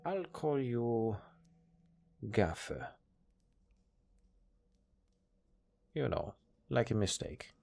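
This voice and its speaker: A man with a German accent playing a cold, condescending necromancer master in an audio roleplay, speaking in a deadpan, unhurried, gravelly way. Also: fairly low pitch, slight background noise, heavily acted. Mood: dry, condescending. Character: cold, dryly matter-of-fact male necromancer master.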